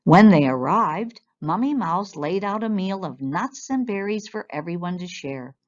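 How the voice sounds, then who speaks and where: A woman storyteller reading a children's picture book aloud in an expressive style. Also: on the low side, unhurried. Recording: dull, lo-fi sound.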